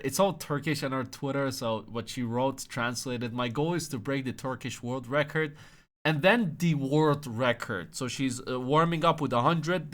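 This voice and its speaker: A young man gives comedic commentary, mocking his subject with sudden loud outbursts. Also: mid-range voice. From Iran. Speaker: commentary host.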